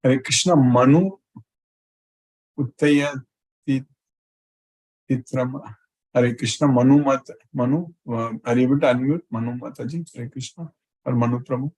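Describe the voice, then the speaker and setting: A man's voice: medium pitch, fairly even intonation, normal speed, long pauses, darkish tone, poor audio. A man speaking as a spiritual teacher in a live online class, greeting students one by one and often repeating his greetings and short phrases. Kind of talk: live question and answer with students.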